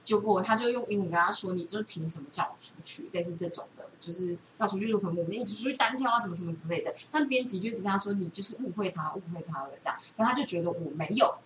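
Fast Taiwanese woman in an echoey room. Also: medium pitch, a bit bright. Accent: Taiwanese Mandarin. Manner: rambling, loosely strung storytelling. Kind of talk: casual chat, retelling a book. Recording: echoey room, distant mic, background noise.

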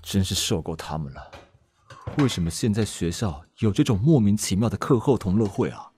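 A man's voice: lowish voice, expressive, moderate pace, a little hiss or hum, natural voice. An actor playing a stubborn, easily flustered middle-aged father who grumbles and protests. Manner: huffy, flustered, protests loudly. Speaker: stubborn dad. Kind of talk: BL audio drama acting. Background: music.

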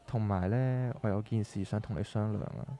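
A man's voice: on the low side, fairly even intonation, normal speed, dark tone, close to an everyday voice. A man playing an earnest, determined young son of a market family in a radio drama, speaking persuasively in Hong Kong Cantonese. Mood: earnest, hesitant. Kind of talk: scripted radio drama dialogue.

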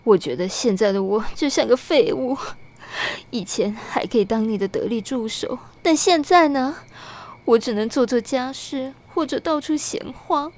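A woman's voice: on the high side, normal speed, a little mellow, lightly acted. A woman acting an anxious, melancholy young wife shut away in a room, in an audio drama. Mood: dejected, pleading.

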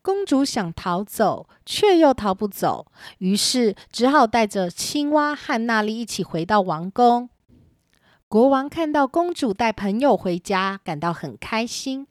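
Lively woman narrating a children's story. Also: on the high side, unhurried, no room sound, studio quality.

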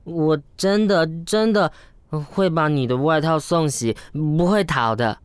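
An actor in an audio drama playing a drunken, loud, foul-mouthed young male writer who gets childishly needy, slurring his words. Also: on the high side, measured pace, clean tone, dry recording, natural voice. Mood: drunken boasting, whiny.

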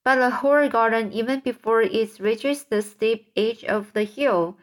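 A kindly storybook ladybug speaks with motherly reassurance, as a woman reads her lines. She takes her time, pausing and groping for words as she goes, never hurried. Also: a little high, natural voice. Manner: reassuring, hesitant with fillers.

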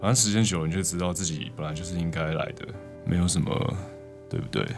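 A man's voice: low-pitched, fast talker, creaky, music in the background. A man giving casual, blunt and matter-of-fact answers as an interview guest.

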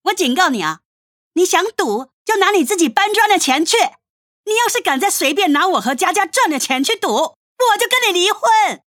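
Bitter indebted wife, very high voice, swooping intonation, scolding, sarcastic, radio drama, dry recording. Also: female voice, big pitch swings, brisk, fairly bright tone, no echo, full character voice. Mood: scolding, threatening. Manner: scolding, sarcastic, foul-mouthed. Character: furious, embittered wife and mother drowning in family debt.

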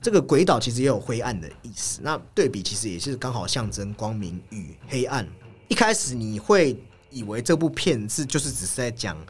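A man's voice: mid-range voice, big pitch swings, brisk, husky. A man hosting a film discussion, explaining and analyzing in an explanatory way, often repeating words and phrases. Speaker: film podcast host.

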